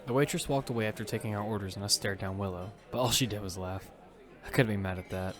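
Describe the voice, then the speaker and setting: A man playing a gentle, sentimental father and narrating his story in an earnest, plain-spoken way for an audio drama. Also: lowish voice, quick pace, crowd noise, natural voice. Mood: casual, fond. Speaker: narrator and lead character. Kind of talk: first-person narration in amateur audio fiction.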